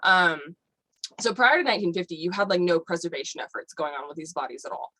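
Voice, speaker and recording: A woman's voice: lowish voice, expressive, fast, bright, forceful tone, no echo, low-quality recording. A woman guest on a podcast, explaining at length in casual chat with many fillers like "um" and "like". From United States.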